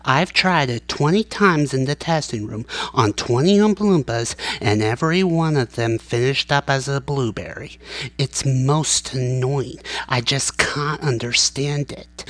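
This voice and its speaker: A woman voicing an eccentric male inventor who speaks softly and ruefully. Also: a bass voice, animated, a little slow, dry recording, full character voice. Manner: soft, rueful sighing.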